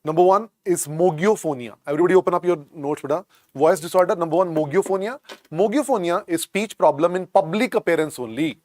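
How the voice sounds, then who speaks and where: A man's voice: sits high, a little fast, a little mellow, no room sound. A man with an Indian English accent teaching a medical exam class, drilling each point over and over and calling students "beta". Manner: repetitive, drills phrases, calls students "beta". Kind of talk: classroom lecture. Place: lecture room.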